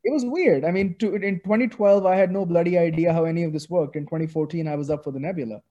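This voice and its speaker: A man's voice: on the high side, fast, muffled recording. Man telling his story. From Pakistan. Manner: animated, many restarts and fillers. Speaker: guest author. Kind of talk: casual interview talk.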